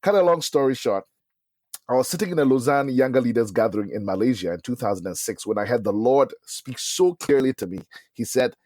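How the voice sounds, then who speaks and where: Man, Ghanaian accent, middle-aged, animated storyteller, conversational, medium pitch, podcast guest. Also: fairly quick, no echo. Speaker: podcast guest, ministry leader and preacher.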